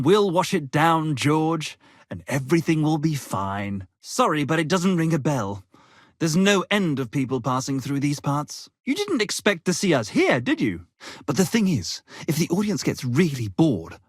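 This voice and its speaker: A pompous medieval poet and musician from a video game, speaks with florid theatrical flair. His voice is husky and swings widely in pitch, in a dry, close recording. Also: no echo. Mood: jovial, florid. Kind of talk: theatrical game character dialogue.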